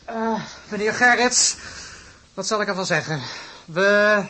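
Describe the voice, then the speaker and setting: A man playing a smooth, swindling professor in a radio play, stammering, repeating himself and fawning with false reassurance. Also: high-pitched, unhurried, pushed and bright, slight background noise, low-quality recording. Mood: fawning, flustered. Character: smooth, swindling, flustered male university professor.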